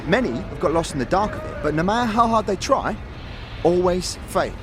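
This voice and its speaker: Man as a high-voiced friendly teenage apprentice smith. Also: lively intonation, brisk, over music. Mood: friendly, chatty. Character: friendly teenage boy smith, easygoing, encouraging, street slang. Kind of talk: audio drama character acting.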